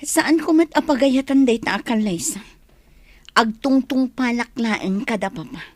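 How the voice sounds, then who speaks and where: An unhappy young bride in an Ilocano radio drama. Her voice is fairly high and subdued, with a Filipino accent and a sulky, resigned manner, recorded close and dry. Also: a little slow, no echo.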